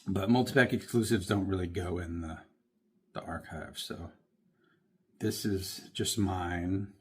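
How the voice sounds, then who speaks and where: A man's voice: sits low, unhurried, breathy edge. A man with a northern US accent, talking through his toy car collection in a rambling, offhand way, often correcting himself mid-sentence. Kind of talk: casual show-and-tell.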